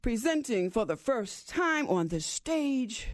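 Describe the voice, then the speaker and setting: A woman voicing a sly, bossy male fox who runs the show, with a gruff, drawling delivery. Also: on the high side, sing-song, slow pace, no echo, clean studio sound. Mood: showy. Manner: gruff, drawling, then brash emcee patter.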